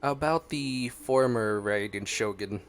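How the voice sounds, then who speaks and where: A man's voice: mid-range voice, measured pace. A man giving casual reaction commentary as a game streamer, musing aloud and exclaiming. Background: game music.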